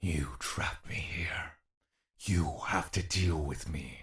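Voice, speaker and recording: A very low, deep-voiced spirit that needles and mocks the man it is trapped inside, as an actor plays it. Its tone stays cold and sarcastic in this audio drama, with a slow creeping scorn behind each jab. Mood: taunting.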